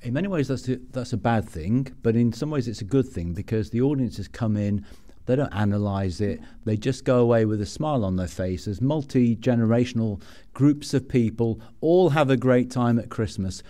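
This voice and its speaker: A man's voice: medium pitch, normal speed, warm tone. A man hosting a podcast, interviewing in a reflective, conversational way and often ending with a tag question. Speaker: podcast host.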